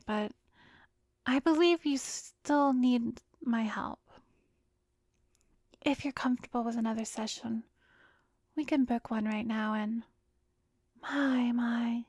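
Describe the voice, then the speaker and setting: A woman playing a gentle, reassuring therapist in an ASMR roleplay, speaking softly with a soothing, polite, encouraging manner. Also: on the high side, no room sound, clean studio sound. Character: gentle, reassuring female therapist hiding sly intentions. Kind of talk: ASMR roleplay audio drama.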